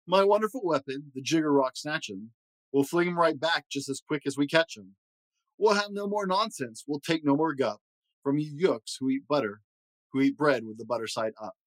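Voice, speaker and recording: A man's voice: fairly high pitch, normal speed, no echo, natural voice, poor audio. A man voicing a rude, mean, boastful enemy soldier who makes taunting, emphatic rhyming threats in a storybook. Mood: menacing, boastful.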